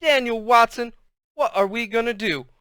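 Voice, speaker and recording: A worried, tender mother, put on by a male storyteller speaks with a Southern US accent. The voice is smooth and fairly high, in storybook style. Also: moderate pace, bright, forceful tone, no room sound, clean studio sound.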